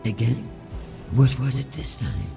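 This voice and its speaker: An actor playing a devoted, tender male spouse and parent in a video game drama, speaking intimately and slowly. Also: mid-range voice, gravelly. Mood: tender, puzzled. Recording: music under the voice.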